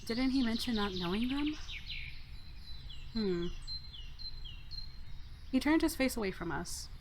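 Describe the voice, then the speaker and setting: Casual woman gamer, mid-pitched voice. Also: background noise. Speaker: let's player. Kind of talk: casual gameplay commentary and reading narration aloud. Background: faint game music.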